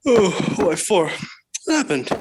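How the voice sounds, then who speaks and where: A teasing, slightly dim American teenage son from 1940s radio comedy. He speaks slowly with big swings in pitch and a mocking tone, over a noisy vintage recording. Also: very animated. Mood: baffled. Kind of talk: 1940s radio sitcom acting.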